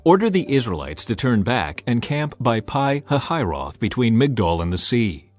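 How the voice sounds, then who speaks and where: A man's voice: mid-range voice, very animated, normal speed, darkish tone, lightly acted. A stern, sovereign God, read by a male narrator in dramatized scripture audio. The delivery is declarative and authoritative in a medium register, with music underneath. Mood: commanding, resolute.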